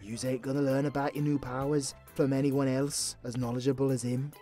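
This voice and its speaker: A British man playing a gruff but kindly old pub landlord, chatty, blunt and sweary, in a casual audio roleplay. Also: medium pitch, level tone, fairly quick, mellow, soft background music, close to an everyday voice. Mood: easygoing, reassuring.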